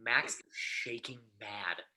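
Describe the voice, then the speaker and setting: A man's voice: mid-range voice, slow pace, crowd noise. A man reading stage directions aloud as the narrator of a script.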